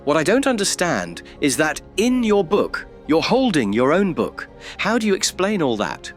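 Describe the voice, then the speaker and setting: A man's voice: on the high side, wildly swooping pitch, moderate pace, soft background music. A British man playing a curious, polite, probing interviewer in an audio drama.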